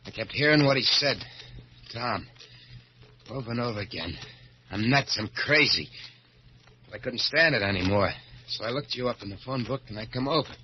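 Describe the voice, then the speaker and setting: A man's voice: medium pitch, lively intonation, normal speed, long pauses, lightly acted. Man acting a rattled, swooping old-radio American gangster. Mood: desperate. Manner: streetwise slang, stammering, frantic when cornered. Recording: muffled, noisy old radio recording.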